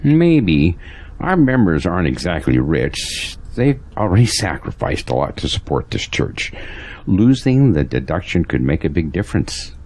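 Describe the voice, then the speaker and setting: A man's voice: sits low, big pitch swings, measured pace, darkish tone, exaggerated voice. An actor playing a resigned, pragmatic churchgoing man in an audio drama, talking in a matter-of-fact, pondering way. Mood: worried.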